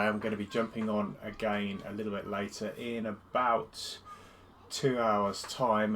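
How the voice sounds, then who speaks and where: A male gaming streamer talks through his round in a casual, friendly ramble. His voice sits a little low and sounds congested from a cold. Faint noise hums underneath. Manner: chatty, relaxed. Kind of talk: casual live-stream commentary.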